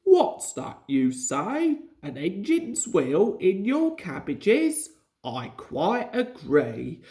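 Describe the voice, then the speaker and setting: A woman voicing an authoritative but kindly male railway controller in a children's story, measured and exclamatory. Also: mid-range voice, huge pitch swings, mellow, exaggerated voice. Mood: astonished, concerned.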